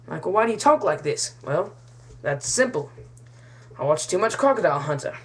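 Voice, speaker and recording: A woman's voice: low voice, sing-song, brisk, breathy edge. A woman from the United States telling a rambling, mock-serious comic monologue in a put-on British-Australian accent. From United States, Kansas. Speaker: comic storyteller.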